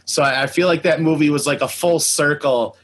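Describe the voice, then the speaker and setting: A man's voice: fairly high pitch, fairly even intonation, fairly quick, a bit bright. A man hosting an interview as a casual chat, speaking informally like an enthusiastic fan. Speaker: interview host.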